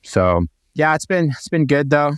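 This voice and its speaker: A man's voice: on the high side, big pitch swings, measured pace, clear, resonant tone, no room sound, clean studio sound. A man co-hosting a real estate podcast, chatting casually and upbeat with lots of fillers and restarts. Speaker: podcast co-host.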